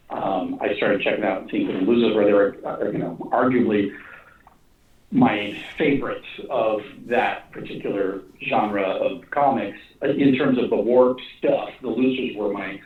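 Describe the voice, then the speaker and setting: Echoey, distant man talking haltingly on a call. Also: fairly low pitch, steady tone, phone-quality sound. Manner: halting, rambling, repeats phrases as he thinks aloud. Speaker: animation and comic writer being interviewed. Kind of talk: casual interview conversation.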